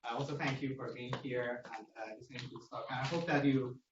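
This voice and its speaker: A man's voice: mid-range voice, steady tone, fast. Echoey, distant Iranian-accented male lecturer. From Iran. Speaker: researcher giving a seminar talk. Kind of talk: academic lecture. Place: indoor seminar room at a lectern. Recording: echoey room, distant mic, dull noisy feed.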